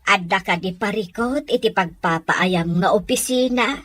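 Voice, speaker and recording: A woman with an Ilocano Filipino accent playing a concerned, caring provincial mother in an audio drama, gently probing. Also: medium pitch, moderate pace, dry recording, lightly acted. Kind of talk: audio comedy-drama acting.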